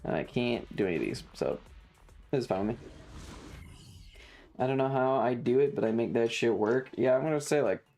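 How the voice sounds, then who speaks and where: Woman, gravelly fry, blunt deadpan delivery, sweary, casual game stream chatter, faint music underneath. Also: very deep voice, normal speed, pauses often. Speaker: livestreamer. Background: video game music.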